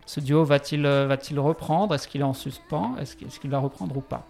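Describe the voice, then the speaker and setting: A man's voice: on the high side, fairly quick, gravelly, a little mellow. A man hosting a radio show, chatty and jokey, interviewing the guest of the week. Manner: chatty, jokey, repeats words. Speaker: radio host.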